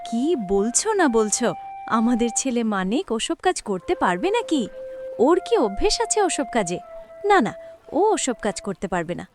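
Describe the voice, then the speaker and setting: A woman voicing a cruel, scheming village stepmother in a cartoon story, scolding and sharp-tongued. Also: on the high side, very animated, normal speed. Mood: placating, evasive. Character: cruel scheming village stepmother favouring her own son. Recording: music behind the voice.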